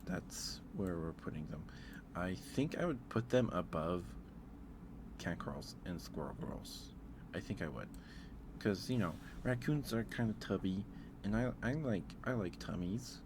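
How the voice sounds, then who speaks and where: A soft-spoken man hosting a casual ASMR livestream, rambling and thinking out loud in a hesitant way. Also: deep voice, expressive, measured pace, noisy background. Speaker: livestream host. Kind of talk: casual ASMR livestream chat, ranking aloud.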